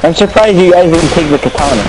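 A man's voice: on the high side, expressive, fast talker. A young man hosting a co-op zombie game session, joking around and teasing his friends. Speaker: channel host and player. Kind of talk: casual gaming chat. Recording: noisy voice chat with mouse clicks.

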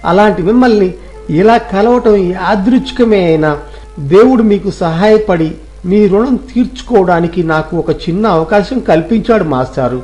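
A man's voice: high voice, normal speed, darkish tone, soft background music, close to an everyday voice. A man playing a grateful, devoted former pupil in a dramatised moral story, earnest and reverent. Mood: reverent, reassuring.

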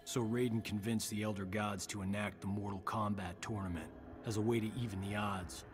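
An actor playing a battle-weary war veteran, solemnly narrating a fantasy game's backstory. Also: male voice, fairly low pitch, steady tone, a little fast, close to an everyday voice. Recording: music behind the voice. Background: loud music.

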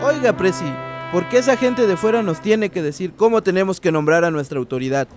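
Man playing an indignant, high-voiced villager. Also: brisk, faint music behind, lightly acted. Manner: challenging, insistent. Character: indignant male villager defending local autonomy. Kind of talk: radio drama acting.

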